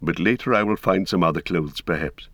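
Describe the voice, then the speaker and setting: A wise old wizard speaks with calm, stately kindness, as a man reading the tale voices him. His tone sits low and unhurried. The recording is dry and close. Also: no room sound. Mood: kindly. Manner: measured, stately.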